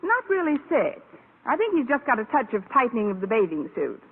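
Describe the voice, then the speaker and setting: A woman playing a wry, quick-witted high school teacher with dry, sarcastic delivery in an old radio comedy. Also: big pitch swings. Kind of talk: 1940s-50s radio comedy acting and narration. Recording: noisy, tinny old radio broadcast.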